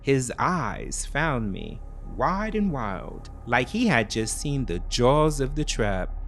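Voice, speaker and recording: Slow, expressive male thriller narrator. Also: mid-range voice, soft background music. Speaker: storyteller. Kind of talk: dramatic scripted first-person thriller narration.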